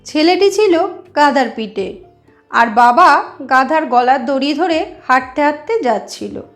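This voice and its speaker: A woman's voice: sits high, lively intonation, unhurried, soft background music. A woman narrating a moral story as a channel host, explaining things directly to her listeners.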